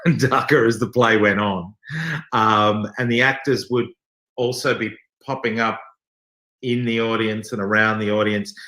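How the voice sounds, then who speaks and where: Man, Australian accent, low pitch, conversational interview answers, explanatory with hesitations, echoey room. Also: pushed and bright. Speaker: theatre company member interviewed as guest.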